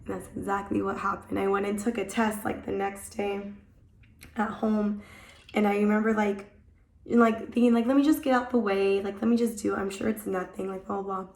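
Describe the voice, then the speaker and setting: A young woman vlogging in a casual, rambling way, her voice hoarse from a cold and just coming back. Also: mid-range voice, flat delivery, brisk, a little mellow, reverberant, a little hiss or hum. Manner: chatty, rambling, many "like" fillers. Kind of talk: casual chat to camera. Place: at home.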